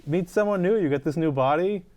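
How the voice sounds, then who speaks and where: A man's voice: fairly high pitch, a little fast, darkish tone, no room sound. A man hosting an improvised comedy interview in an informal, joking, rambling way. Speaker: host.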